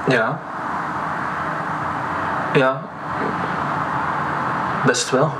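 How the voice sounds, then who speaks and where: A man's voice: medium pitch, fairly even intonation, drawn-out words, heavy background noise. An actor playing an earnest, hesitant man in his thirties in an audio drama, giving minimal replies. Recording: loud vehicle ambience.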